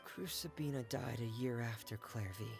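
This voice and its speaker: An actor playing a polite, earnest, soft-hearted young man in a video game. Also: mid-range voice, moderate pace, creaky, loud music behind the voice, natural voice. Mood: reflective. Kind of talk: video game dialogue acting.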